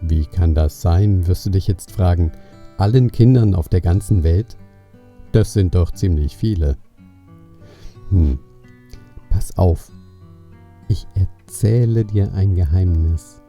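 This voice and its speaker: A man narrating a scripted children's story in a measured way, speaking directly to the young listener. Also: low-pitched. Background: soft plucked guitar music.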